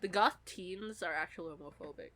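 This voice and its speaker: A woman's voice: lowish voice, a little fast, a bit bright. A woman co-hosting a podcast in casual joking banter, wry, giggly and slangy. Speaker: podcast co-host.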